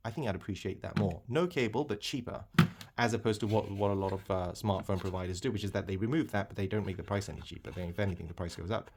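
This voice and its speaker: A man's voice: lowish voice, darkish tone, a little hiss or hum. A man giving casual unboxing commentary as a product reviewer, rambling as he thinks aloud and repeats himself.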